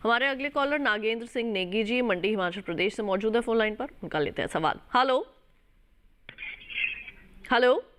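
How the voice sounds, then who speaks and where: A woman's voice: fairly high pitch, lively intonation, normal speed, long pauses, a bit bright. A woman hosting a health phone-in show, putting callers' questions to doctors with polite short acknowledgements. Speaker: host.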